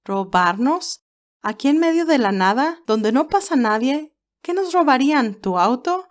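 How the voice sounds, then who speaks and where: A woman voicing a sweet, feisty young nurse in an expressive, girlish way for an audio romance story. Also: high-pitched, measured pace, pitched above the performer's own voice. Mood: teasing, incredulous. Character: Candy, sweet, feisty young nurse, easily moved and teasing.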